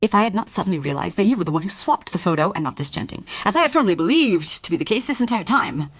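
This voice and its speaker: An actress playing a wronged, accusing wife in a crime radio play, speaking in a halting, bitter, insistent way. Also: female voice, medium pitch, sing-song, husky, no echo. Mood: accusing, anguished. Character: wronged, accusing wife confronting a treacherous family friend.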